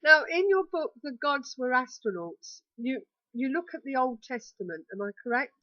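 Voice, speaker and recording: A woman's voice: high voice, lively intonation, normal speed, pushed and bright, no echo, muffled recording. Woman host asking short questions. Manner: brief, direct questions. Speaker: radio co-host. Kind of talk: phone-in radio interview.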